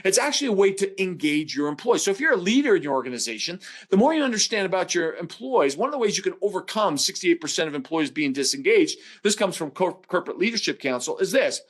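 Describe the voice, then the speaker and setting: A man's voice: high voice, very animated, fast, few pauses. A middle-aged man presenting a business webinar as a corporate trainer, explaining points and posing rhetorical questions.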